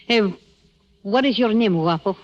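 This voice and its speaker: An actress as a tough Spanish-accented dame, muffled old radio. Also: female voice, mid-range voice, animated, a little slow, natural voice. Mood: wistful. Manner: bossy, blunt, matter-of-fact. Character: tough, streetwise Spanish-speaking woman who hates cops. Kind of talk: 1940s radio acting.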